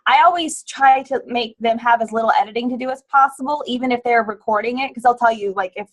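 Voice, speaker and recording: A woman's voice: on the high side, fast. A woman speaking as a podcast guest on a video call, thoughtful and a bit rambling. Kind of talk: casual video call chat.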